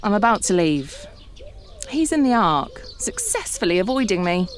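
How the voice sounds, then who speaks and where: A woman's voice: mid-range voice, wildly swooping pitch, normal speed. A woman playing a frightened, argumentative amateur detective aboard the Ark in a comedy audio drama, with an exasperated, insistent manner. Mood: wry, subdued narration. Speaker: lead actor and narrator.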